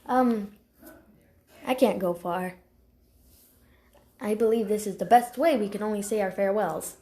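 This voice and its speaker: A woman voicing a timid, excitable pampered house cat for animated character lines, with a hesitant, stammering, dramatic delivery. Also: mid-range voice, unhurried, natural voice. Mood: awkward.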